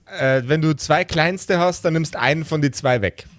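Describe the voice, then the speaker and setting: A man's voice: fairly high pitch, normal speed, dry recording. A man acting as game master, casually explaining the rules of a tabletop game.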